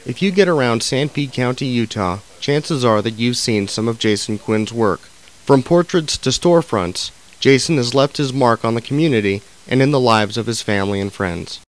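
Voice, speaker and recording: A man's voice: mid-range voice, lively intonation, normal speed. A man reading scripted narration as a voice-over narrator and reporter.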